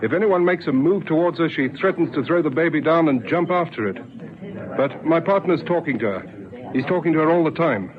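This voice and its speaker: An actor playing a brisk, worried patrol policeman in a vintage radio drama, speaking in clipped, urgent style. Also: a little high, animated, crowd noise, natural voice. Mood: urgent, businesslike. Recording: muffled vintage radio, clear background noise.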